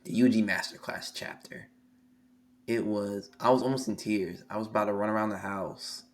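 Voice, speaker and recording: A hoarse young man on a live stream, reading a manga chapter aloud and reacting excitably, swearing freely. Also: on the low side, moderate pace. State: hoarse, losing his voice. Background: faint music.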